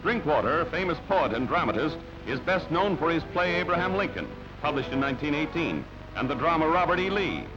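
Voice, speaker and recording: A man with a fairly high, swooping voice reads newsreel copy. His delivery is jaunty and theatrical, in announcer style. The soundtrack is dull and band-limited, with music playing behind him. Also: very animated, fairly quick. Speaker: newsreel narrator. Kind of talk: scripted newsreel narration. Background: background music.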